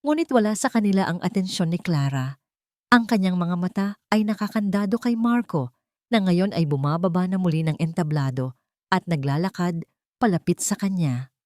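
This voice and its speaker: Low-pitched woman narrating a romance story. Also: animated, moderate pace, a little mellow, no room sound, clean studio sound. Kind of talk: dramatic scripted romance narration.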